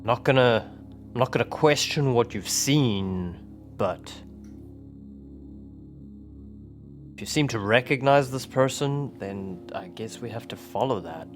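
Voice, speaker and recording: A man's voice: mid-range voice, animated, moderate pace, lightly acted. Rational male investigator acted by a player, formal and cautious, tabletop roleplay over faint music. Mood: measured caution.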